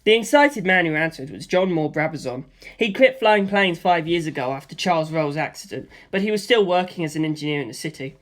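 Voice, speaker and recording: A woman narrating a first-person story in a steady, matter-of-fact way. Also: deep voice, fairly quick.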